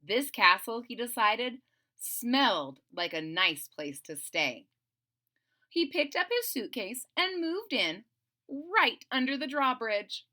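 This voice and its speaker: A woman reading a children's story aloud in a theatrical, playful way, pausing to explain things simply to young listeners. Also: on the high side, very animated, pushed and bright. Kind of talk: expressive children's read-aloud with simple asides.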